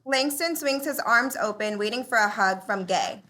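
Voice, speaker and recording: A woman narrating stage directions at a live comedy read, in a brisk, declarative way. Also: a little high, expressive, a crowd in the background.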